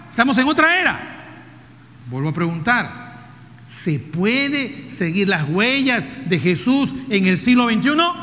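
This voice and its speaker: A Peruvian man in his fifties preaching a sermon, who asks the congregation rhetorical questions, repeats key phrases and now and then drops to a soft, low voice. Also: slight background noise. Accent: Peruvian Spanish. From Peru. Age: 50s. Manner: jokes with the audience, drops to soft low tones for emphasis. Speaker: pastor. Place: church hall. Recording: reverberant church hall.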